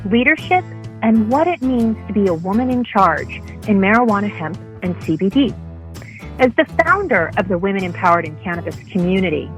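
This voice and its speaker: Woman, lively pitch, polished scripted promo read over faint music. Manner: upbeat, polished. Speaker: podcast promo host.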